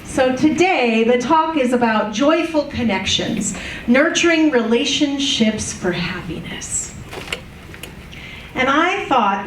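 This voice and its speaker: A woman's voice: fairly high pitch, slight background noise. A woman giving an unprepared church talk, candid and self-mocking, laughing often. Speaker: guest speaker and church musician. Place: church sanctuary. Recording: echoey church room from far off.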